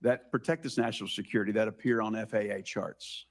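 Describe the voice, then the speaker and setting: An American man questioning a witness as a senator at a formal hearing, brisk and pressing with halting pauses. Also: on the low side, steady tone.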